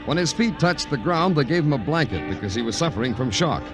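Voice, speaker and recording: An actor playing a wry, world-weary city police detective in an old radio crime drama, with deadpan, sardonic delivery and florid phrasing. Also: male voice, mid-range voice, quick pace, over music, lightly acted. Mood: wry, dramatic. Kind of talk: 1950s radio crime drama narration and dialogue.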